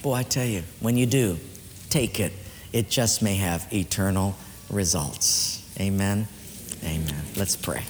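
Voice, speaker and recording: A man's voice: low voice, a little slow, slight background noise. Earnest preacher man in echoing hall. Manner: earnest, emphatic preaching that swings between lifted and hushed. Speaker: pastor. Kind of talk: sermon ending in prayer. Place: church.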